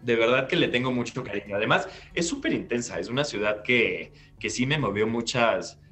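A man, an actor recounting his career in an interview over a video call from a bright living room. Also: mid-range voice, fairly quick, soft background music. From Peru. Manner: animated, gestures while recounting, restarts phrases. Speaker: guest actor. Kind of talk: storytelling interview answer. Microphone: laptop webcam on video call.

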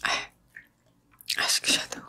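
A young woman with a Brazilian Portuguese accent speaks in a breathy whisper, soft and reassuring, in an unhurried ASMR hairdresser roleplay. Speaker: ASMR creator playing a hairdresser. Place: small room at home, indoors.

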